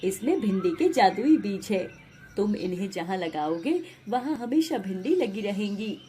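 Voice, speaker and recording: A woman playing a calm, generous king in an animated moral story, speaking with dignified reassurance. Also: medium pitch, unhurried, a little hiss or hum, close to an everyday voice. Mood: solemn.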